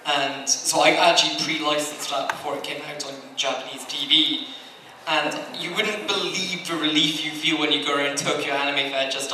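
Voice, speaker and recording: Guy chatting on a panel, slightly high voice, really echoey room, bit of background noise. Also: pushed and bright, far from the mic. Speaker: anime licensing executive on a panel. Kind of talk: convention panel talk. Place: large indoor convention panel room.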